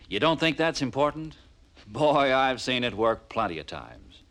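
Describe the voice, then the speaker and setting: Very animated 1950s American male narrator. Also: medium pitch. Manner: persuasive, punchy, rhetorical questions. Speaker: narrator of a car sales training film. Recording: vintage 1950s film soundtrack.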